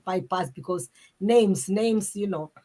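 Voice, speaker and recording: A woman's voice: medium pitch, measured pace, mellow. A woman hosting an interview, talking animatedly and often repeating words as she gathers her thoughts. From Kenya. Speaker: interview host. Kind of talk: casual interview chat.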